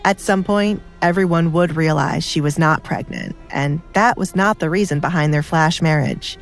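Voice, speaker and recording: A woman's voice: low voice, normal speed, soft background music. A woman reading a scripted dramatic story as an audiobook narrator.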